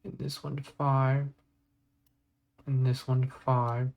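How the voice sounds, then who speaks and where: A man hosting a casual software tutorial, thinking aloud step by step as he works. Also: mid-range voice, level tone, slow, vocal fry. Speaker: tutorial host.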